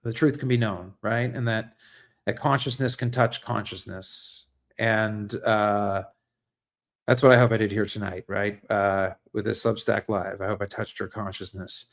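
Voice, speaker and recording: An American man hosting an unscripted livestream monologue, speaking earnestly and reflectively in a halting, searching way, often repeating words and checking in with "right?". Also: fairly low pitch, fairly even intonation. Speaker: livestream host and essayist.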